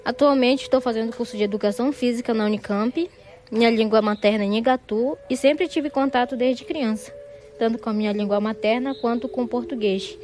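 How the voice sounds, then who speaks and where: A young woman from Pará, Brazil, reading a personal statement as a university student in a calm, measured way. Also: a little high.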